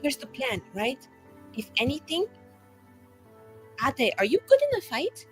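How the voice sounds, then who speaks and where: Higher-voiced woman, casual tabletop player. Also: sing-song, a little slow, a bit bright, husky, faint music behind. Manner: informal, slangy, frequent use of like. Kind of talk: casual chat, planning in game.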